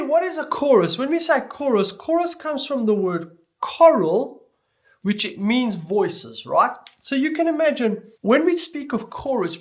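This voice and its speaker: A man giving an explanatory tutorial lecture as an instructor, speaking deliberately and stressing key words as he goes. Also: high-pitched. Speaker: music production instructor.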